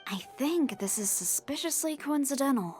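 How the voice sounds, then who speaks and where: An actress playing a weary, sceptical married housewife in a video game. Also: female voice, high voice, expressive, moderate pace, a little mellow, soft background music. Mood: suspicious. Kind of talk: scripted video game voice acting.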